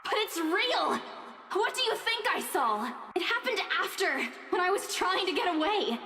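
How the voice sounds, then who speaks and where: A woman's voice: extremely high pitch, sing-song, normal speed, sharp tone, heavily acted. An actress playing a frightened young woman, distressed and insisting that she be believed, in a visual novel. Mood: frightened, pleading. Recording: reverberant echoey hall, faint noise.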